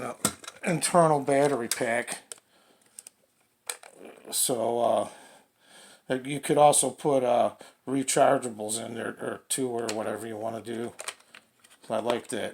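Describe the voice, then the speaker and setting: Slow, gravelly man. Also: medium pitch, slight background noise. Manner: plain-spoken, folksy, halting delivery. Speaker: radio hobbyist presenting product reviews. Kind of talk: casual unscripted product demonstration.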